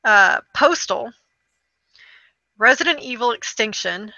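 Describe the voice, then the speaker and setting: A woman's voice: fairly high pitch, expressive, slow, bright, forceful tone, no echo, muffled recording. A woman co-hosting a video game show in casual chat, running through a list with wry, dismissive asides.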